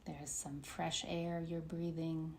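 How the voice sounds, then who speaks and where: Slow, low-voiced woman guiding meditation. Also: level tone. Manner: soothing, unhurried, with long pauses. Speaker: meditation guide. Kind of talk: guided meditation, body scan. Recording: faint background noise.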